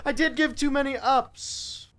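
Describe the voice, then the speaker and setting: An actress playing a rambling, muddled fantasy guide in a semi-improvised comic audio drama, chatty and giggly. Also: female voice, sits high, fairly even intonation, unhurried. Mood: amused, explaining.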